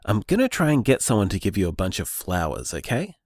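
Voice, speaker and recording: A man's voice: lowish voice, fast, dry recording, studio quality. An actor playing an earnest young man discovering luck magic, talking in a thoughtful, musing way. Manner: thoughtful, musing, lively delivery. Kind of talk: audio drama, voice-message monologue.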